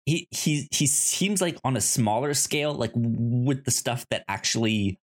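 A man co-hosting a casual discussion, speaking haltingly and stuttering on repeated sounds and words. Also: medium pitch. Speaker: podcast co-host. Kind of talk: casual chat, film discussion.

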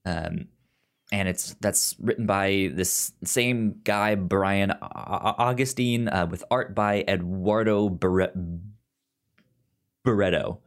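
A man hosting a podcast in casual chat, with a halting delivery full of stammered restarts. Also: sits low, clear, resonant tone. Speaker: podcast host. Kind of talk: casual chat about comics and film.

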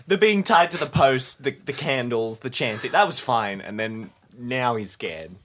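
A man with a husky voice bantering as a player in an improvised tabletop game, reacting with animated exasperation. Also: medium pitch, a little slow. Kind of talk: improvised casual play banter.

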